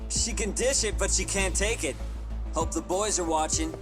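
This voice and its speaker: A woman's voice: on the low side, normal speed, over music, lightly acted. A woman playing a spirited teenage schoolgirl in a video game, pointed and defiant. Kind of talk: English video game voice acting.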